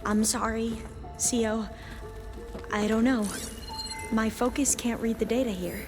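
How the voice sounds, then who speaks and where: An earnest, deferential scholar in a video game cutscene. Her voice is on the high side and courteous. Music plays clearly in the background. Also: steady tone, slow pace, big-room sound. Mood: apologetic. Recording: music behind the voice.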